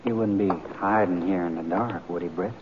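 An actor playing an earnest, inexperienced cowboy companion in an old radio western, with plain rustic speech. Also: male voice, sits low, brisk, lightly acted. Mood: hushed, nervous. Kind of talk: 1940s-50s radio western acting. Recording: muffled old radio recording, faint noise.

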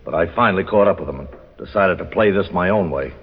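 Husky, low-voiced cornered killer. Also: male voice, fast. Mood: scheming. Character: cornered scheming male killer, defiant and greedy. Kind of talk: 1940s radio crime drama acting. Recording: dull old radio recording.

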